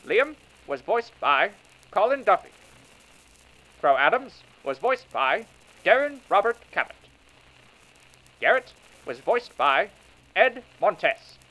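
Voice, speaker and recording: Guy reading off the cast list, bright high voice, takes it slow. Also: low-quality recording. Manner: plain, steady list reading. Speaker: credits announcer. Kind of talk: reading end credits.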